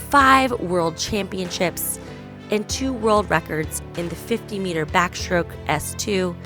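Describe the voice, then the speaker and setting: Low-voiced woman podcast host, music behind. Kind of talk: scripted podcast introduction and interview questions.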